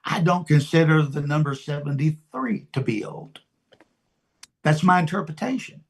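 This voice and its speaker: A man in his seventies preaching an informal sermon as a pastor, deliberate and emphatic, pausing and repeating his phrases. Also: fairly high pitch, lively intonation. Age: 70s.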